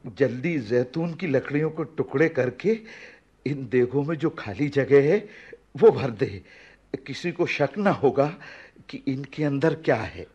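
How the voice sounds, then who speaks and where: Husky, slow old father figure. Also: mid-range voice, animated, darkish tone, lo-fi sound. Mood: excited, cautious. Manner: slow, halting, fatherly delivery. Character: kindly old man, fatherly and simple. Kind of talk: Hindi radio play acting.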